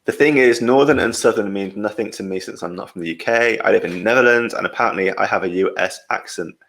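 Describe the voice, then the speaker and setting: Low gravelly young English man chatting. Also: quick pace, a bit bright. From England, Midlands. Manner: chatty, reads out comments, laughs often. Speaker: podcast host. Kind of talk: casual podcast chat.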